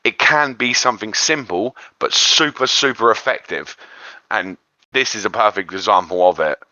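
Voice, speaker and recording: A man's voice: lowish voice, normal speed, sharp tone, dry recording. A man chatting casually as co-host of a geek culture discussion show, on a lo-fi recording. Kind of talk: casual chat. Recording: dull lo-fi voice recording.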